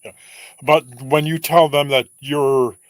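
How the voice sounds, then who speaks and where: A man's voice: a little high, steady tone, takes it slowly, no room sound, poor audio. Man giving short agreeing replies, dry sound. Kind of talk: casual chat.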